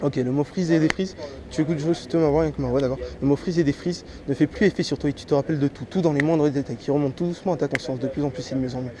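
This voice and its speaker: A man from Martinique with a slight head cold, working as a street hypnotist. He gives a volunteer slow, repeated commands that drop soft and low. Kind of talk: stage hypnosis patter with a volunteer. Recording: noisy crowd around the mic. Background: chattering crowd.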